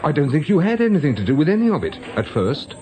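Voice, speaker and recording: An actor playing a stern former policeman in a radio drama, with a British accent and an insistent, deliberate way of questioning. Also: a little high, wildly swooping pitch, darkish tone, slight background noise. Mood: persistent, persuasive. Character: probing male former policeman turned investigator, stern and persistent.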